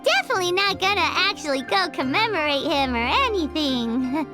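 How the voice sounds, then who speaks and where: A woman voicing a small, perky, childlike fairy companion in an anime-style game, squeaky and blunt, with exaggerated bubbly delivery. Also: animated, normal speed. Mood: awkward, nervous. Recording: music playing behind the voice.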